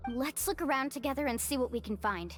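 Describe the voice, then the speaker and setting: Woman acting a high-voiced young female expedition guide. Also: brisk, loud music behind the voice, close to an everyday voice. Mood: upbeat. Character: level-headed young woman guiding an expedition, friendly and cautious. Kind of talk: English video game voice acting.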